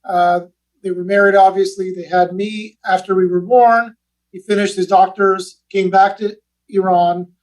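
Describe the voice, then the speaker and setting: Forties man, high voice, easygoing podcast guest, slightly off-mic. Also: steady tone, unhurried, a little mellow, distant mic, low-quality recording. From West Los Angeles. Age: 40s. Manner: easygoing, chatty storyteller. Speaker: guest, real estate investment advisor. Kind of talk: casual podcast interview.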